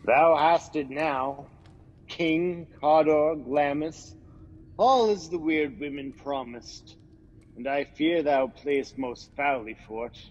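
A man acting a wary, loyal nobleman in a radio play, brooding and earnest, with a fairly high voice. The sound is dull and muffled, with a long reverb and faint hiss. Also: slow. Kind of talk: amateur radio drama acting.

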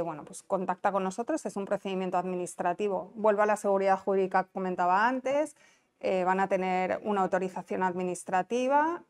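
Spanish woman, not canarian accent, explaining stuff at a conference, clean smooth voice, chatty and checks you're following. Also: medium pitch, darkish tone. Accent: Spanish, non-Canarian. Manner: explanatory, conversational, checks understanding with tag questions. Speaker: conference presenter, business incentive adviser. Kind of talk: informal conference presentation. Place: small indoor conference room. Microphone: lapel mic.

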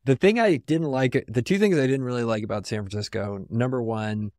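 A man's voice: medium pitch, fairly quick, a little mellow, no echo, studio quality. A man from San Antonio, a podcast guest talking reflectively in a casual, rambling way with lots of "like.". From San Antonio, Texas.

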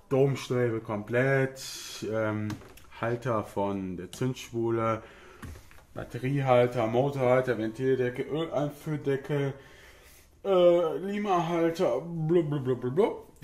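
A man's voice: mid-range voice, a little mellow. German guy in his 30s with a cold, chatty garage vlog, um-heavy, sounds roomy. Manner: talkative, frequent filler sounds, matter-of-fact. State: has a cold. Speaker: car hobbyist YouTuber. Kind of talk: casual explanatory vlog. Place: home garage workshop, indoors. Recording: echoey garage room.

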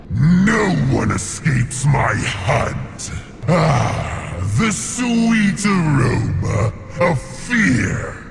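A man voicing a menacing, bloodthirsty shadow demon beast in a video game, with a growling, gravelly rasp and a theatrical, sinister delivery. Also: medium pitch, a little hiss or hum, heavily acted. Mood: gloating, sinister. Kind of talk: video game character voice lines.

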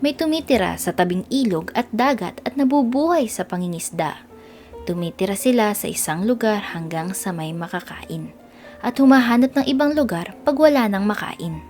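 A woman with a Filipino accent narrating a scripted children's storybook in an expressive read-aloud style. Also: medium pitch, moderate pace, faint music behind.

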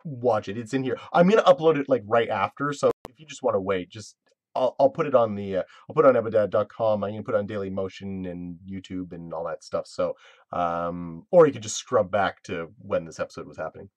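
A man's voice: on the low side, fairly quick, no room sound. A man in his thirties hosting a casual live Q&A about his web series, rambling and restarting his sentences with lots of fillers. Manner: rambling, self-interrupting, full of fillers. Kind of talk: casual live-stream chat.

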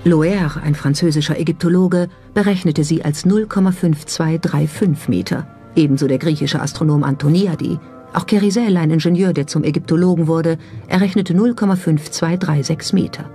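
Mellow documentary narrator with music behind. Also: mid-range voice, normal speed.

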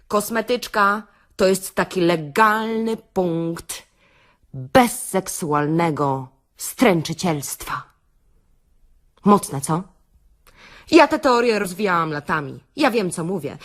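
A woman playing a frank, bossy beautician in an audio play monologue, confiding and wry, with theatrical pauses and an earthy, tender streak. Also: mid-range voice. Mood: wry, assertive. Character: frank, bossy female beautician parodying a self-help workshop leader, tender and earthy.

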